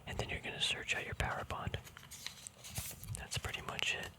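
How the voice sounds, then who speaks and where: A man whispers softly throughout, with no full voice, in an intimate ASMR style. His delivery is casual and rambling, like a laid-back hobbyist talking a viewer through his collection. He comes across as informal and gently self-deprecating. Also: normal speed. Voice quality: soft breathy whisper. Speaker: ASMR card collector host.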